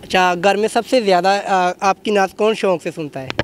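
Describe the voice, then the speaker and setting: A man's voice: high voice, steady tone, normal speed. A man, an effusive host doing a street interview and repeating answers back. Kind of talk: street interview for a web show. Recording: outdoor street noise with traffic.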